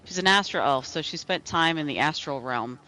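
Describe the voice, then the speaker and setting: Bright-voiced chatty man in his thirties. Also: fairly high pitch, expressive, brisk, poor audio. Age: 30s. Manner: chatty, rambling with many fillers. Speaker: fellow player in voice chat. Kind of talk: casual gaming chat.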